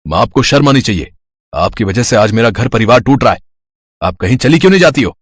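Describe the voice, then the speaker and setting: A man voicing an irritable, curt married son and husband in a family story, with a slightly husky voice. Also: medium pitch, sing-song, brisk, dry recording, studio quality. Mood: angry. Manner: curt, scolding.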